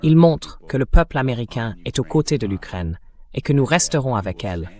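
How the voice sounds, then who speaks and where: A man's voice: mid-range voice, big pitch swings, a little fast, a little mellow. Male politician delivering a speech, mid-range voice, synthetic voice-over translation. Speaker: senator.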